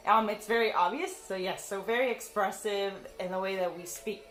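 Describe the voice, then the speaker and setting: A young woman from Cuba co-hosting a casual family video, talking in a lively, expressive way. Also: mid-range voice, normal speed. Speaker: co-host of a family channel. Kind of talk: casual chat. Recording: roomy echo, faint music.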